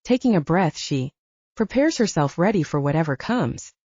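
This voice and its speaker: A woman's voice: low-pitched, sing-song, normal speed, mellow, slightly rough, no room sound, dull audio. A woman narrating an audiobook, reading scripted fiction in a dramatic storytelling style.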